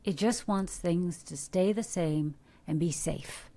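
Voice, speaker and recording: A middle-aged American woman from Detroit, an actor giving earnest, coaching advice in a podcast interview, animated with rhetorical questions. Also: on the low side, slow. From Detroit, USA. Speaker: guest, actor. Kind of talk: podcast interview, motivational talk.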